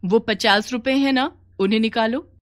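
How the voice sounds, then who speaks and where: Woman playing a kind, encouraging neighbour, fairly high. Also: moderate pace, natural voice.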